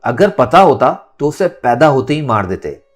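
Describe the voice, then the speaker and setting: A man's voice: mid-range voice, sing-song, unhurried, faint music behind, voice pushed up. A man playing a tyrannical old family patriarch, menacing and seething, in an audio novel.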